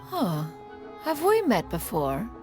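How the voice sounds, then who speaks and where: A woman's voice: a little high, huge pitch swings, slow, darkish tone, soft background music, heavily acted. A woman voicing an aloof, dignified immortal in a video game, with a formal, haughty manner of speech. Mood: curious, courteous. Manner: formal, haughty, refers to self as "one".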